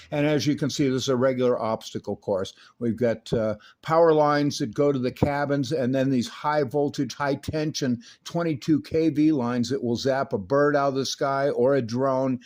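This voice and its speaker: A man's voice: medium pitch, steady tone, moderate pace, hardly any pauses, darkish tone. A man narrating his own hobby video in a casual, folksy and self-assured way, with slangy turns of phrase.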